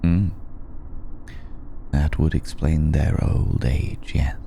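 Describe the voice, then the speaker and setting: A man playing a courteous, protective aristocratic vampire in an intimate ASMR roleplay, speaking formally and hesitantly with long pauses in a gravelly, dark voice. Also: rumbling low, steady tone, a little hiss or hum, full character voice. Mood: wry, explanatory. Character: ancient aristocratic male vampire, courteous, protective, quietly amused.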